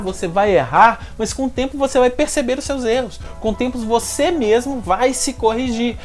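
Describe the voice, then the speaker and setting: A man from Minas Gerais with a Mineiro accent, speaking straight to the viewer as he gives energetic advice in a vlog. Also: high-pitched. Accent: Mineiro Brazilian Portuguese. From Minas Gerais, Brazil. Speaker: YouTuber giving language-learning tips. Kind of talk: direct-to-camera advice vlog.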